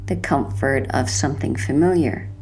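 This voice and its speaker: A woman narrating a sleep hypnosis session in a soothing, hushed, gentle manner, letting her phrases linger like guided relaxation. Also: extremely low pitch, moderate pace, gravelly, faint music behind, rough recording.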